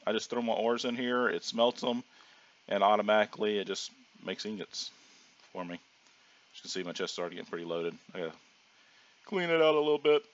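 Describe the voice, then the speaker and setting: A hoarse man casually narrating his own gameplay as a channel host, rambling with plenty of ums and restarts. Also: on the low side, a little fast. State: hoarse from sinus trouble. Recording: dull lo-fi audio.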